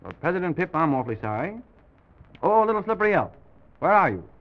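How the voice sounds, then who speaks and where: A man hosting a children's show, narrating a make-believe story in simple, gentle phrases. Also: a little high, big pitch swings, fast talker. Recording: dull, noisy vintage broadcast recording.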